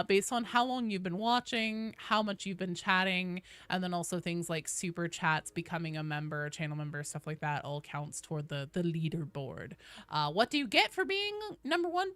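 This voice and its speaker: A woman livestreaming a video game, chatting casually and commentating in an informal, sweary, animated way. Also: medium pitch, normal speed, smooth, no echo.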